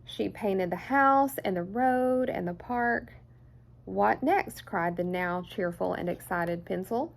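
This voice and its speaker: Slow-paced woman storyteller with creaky voice. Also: mid-range voice. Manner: expressive, deliberate storyteller phrasing. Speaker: children's story time host. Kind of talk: picture book read-aloud.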